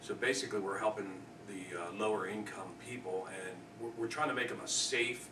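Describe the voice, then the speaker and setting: A man's voice: fairly low pitch, fairly even intonation, a little fast. An American man from Pennsylvania, a builder explaining a proposal informally at a public meeting. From Pennsylvania, United States. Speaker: construction company owner presenting a proposal. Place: indoor public meeting room. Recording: distant, echoey, noisy meeting room recording.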